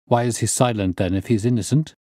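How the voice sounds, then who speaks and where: A man's voice: lowish voice, fast, mellow, dry recording, clean studio sound, close to an everyday voice. A man voicing a stern, impatient older banker in an audiobook, formal and blustering. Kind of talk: audiobook character reading.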